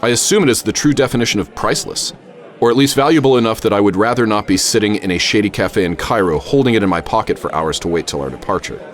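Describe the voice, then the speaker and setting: An actor playing a witty, grandiose American professor and adventurer, speaking in flowery, theatrical phrases in a radio drama. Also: male voice, fairly low pitch, fast, close to an everyday voice. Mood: weary relief. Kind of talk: radio drama acting and first-person narration.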